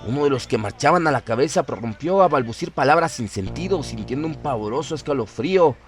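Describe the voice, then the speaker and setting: A man's voice: medium pitch, lively intonation, fast, soft background music. A man narrating a scripted horror radio drama in a theatrical, literary storytelling manner.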